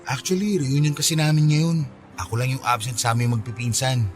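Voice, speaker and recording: A man playing a kind, persistent, bashfully smitten young office junior in a Tagalog radio drama, speaking gently and coaxingly. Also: medium pitch, steady tone, brisk, faint music behind, natural voice.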